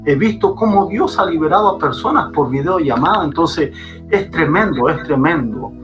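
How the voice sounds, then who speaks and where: A man's voice: on the high side, animated, normal speed, over music, low-quality recording. A man, a pastor, speaking fervently as a guest on a podcast and repeating phrases for emphasis. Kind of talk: preaching guest in a podcast conversation. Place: Chile.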